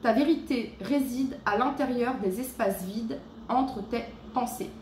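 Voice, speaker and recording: A woman's voice: a little high, darkish tone, reverberant. Chatty woman reading tarot cards at home. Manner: chatty, many fillers, reads card texts slowly. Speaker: tarot reader. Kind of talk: casual tarot reading to camera. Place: her home. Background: cleanup noise outside.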